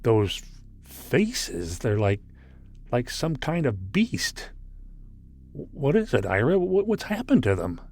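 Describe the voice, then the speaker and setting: A man's voice: medium pitch, wildly swooping pitch, slow pace, darkish tone, full character voice. An actor playing a plain-spoken, wry spaceship crewman with a folksy manner, in an old-time sci-fi radio drama. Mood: horrified.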